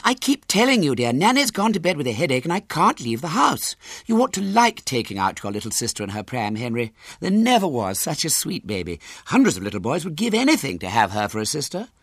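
A man's voice: mid-range voice, wildly swooping pitch, brisk, few pauses, no room sound, studio quality. A man voicing an exasperated middle-class English mother in a storybook, coaxing and scolding her son with emphasis.

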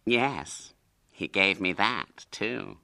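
A man's voice: on the low side, animated, drawn-out words, vocal fry, sharp tone, exaggerated voice. A man voicing a sly, smug young boy in a storybook with a bland, careless drawl. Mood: bland, lying.

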